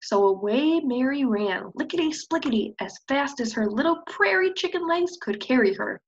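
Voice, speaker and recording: High, husky young woman reading a storybook. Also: moderate pace. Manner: expressive, prompting children with questions. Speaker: preschool teacher. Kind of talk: children's storybook read-aloud. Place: indoors on a screen-share video call. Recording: slightly dull webcam audio.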